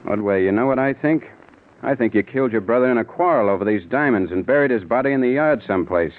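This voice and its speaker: An actor playing a blunt, skeptical American detective captain in 1940s radio style, on a tinny old broadcast. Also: male voice, mid-range voice, lively intonation, fast, natural voice. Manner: blunt, commanding, sardonic.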